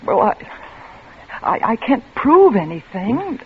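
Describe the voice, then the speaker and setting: A stubborn, anxious young woman in an old radio suspense drama. Her voice is high and swings widely, with dramatic stammers when rattled. The recording is thin and tinny, with music. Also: sing-song, slow, slight background noise. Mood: rattled, defiant. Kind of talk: 1940s radio thriller acting with first-person narration.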